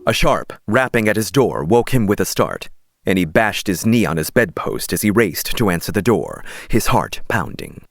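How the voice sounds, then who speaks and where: Male audiobook narrator, kinda deep, expressive storytelling, super dry studio sound. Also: normal speed, gravelly, dry recording. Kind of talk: scripted dramatic storytelling narration.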